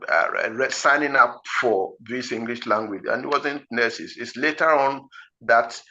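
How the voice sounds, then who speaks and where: A man with a Ghanaian accent, an English test teacher, explaining slowly and deliberately, pronouncing each word one after another with frequent fillers. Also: medium pitch, bright, forceful tone, dull audio. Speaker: IELTS teacher.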